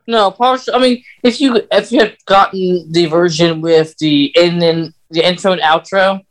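A podcast host chatting casually, giving opinions in a hesitant, halting way. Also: medium pitch. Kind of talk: casual film-fan chat.

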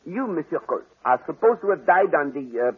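An actor playing a courteous, methodical detective with a French accent in 1940s radio drama, precise and formal. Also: male voice, fairly high pitch, lively intonation, fairly quick, husky. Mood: calm, firm. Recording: tinny old radio recording with music.

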